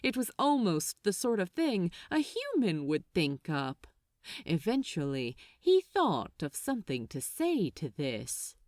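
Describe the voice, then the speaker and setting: A woman narrates fiction in a lowish voice with deliberate, suspenseful phrasing. Her pacing is very slow and her intonation highly varied, giving a dramatic storytelling feel. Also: huge pitch swings, a little mellow, no room sound, clean studio sound.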